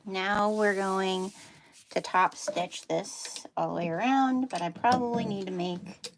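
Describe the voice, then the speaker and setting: A woman with a Dutchy accent chats her way through a sewing demo in a relaxed, self-deprecating way. Her voice has a gravelly edge. A faint hum sits under the recording. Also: medium pitch, unhurried. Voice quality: chatty, self-deprecating, talks while working. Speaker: sewing livestream host. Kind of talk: casual sewing demo chat.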